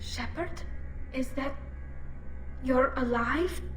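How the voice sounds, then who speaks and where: Earnest alien girl squad leader in a game, high pitched, echoey with music behind. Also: extremely slow. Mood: urgent, astonished. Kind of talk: video game voice acting.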